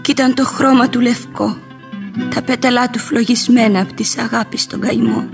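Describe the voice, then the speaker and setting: A woman's voice: fairly high pitch, natural voice. An actress playing a tender, anguished young woman in love, declaiming lyrical verse in a radio play. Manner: declamatory, poetic.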